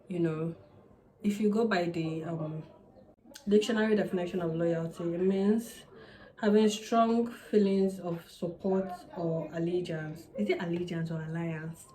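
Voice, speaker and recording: A young woman in her twenties, a native Yoruba speaker with a Nigerian accent, chatting casually and candidly to camera with quick asides. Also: fairly low pitch, a little mellow, rough recording. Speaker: vlogger. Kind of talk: casual sit-down advice chat.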